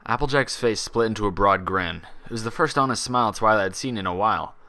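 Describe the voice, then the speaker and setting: A man reading a story aloud as an expressive scripted narration. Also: lowish voice, quick pace. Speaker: fan fiction reader.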